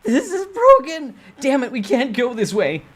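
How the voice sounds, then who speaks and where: A woman voicing an excitable, anxious survivor, exclaiming emotionally in a dramatic story reading. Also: high-pitched, unhurried, exaggerated voice. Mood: panicked.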